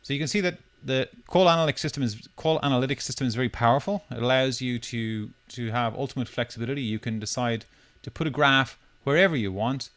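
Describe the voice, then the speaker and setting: Guy doing a screencast tutorial, medium pitch, explaining stuff step by step, kinda unscripted. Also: fast talker, few pauses, a bit bright. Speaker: software demo presenter.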